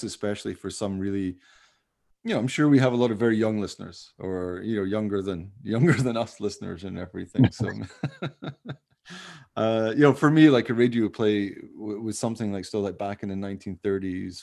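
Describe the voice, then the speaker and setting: A man's voice: on the low side, moderate pace, creaky, darkish tone. A man hosting a podcast in a casual, rambling chat, with an informal, jokey manner. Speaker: podcast host.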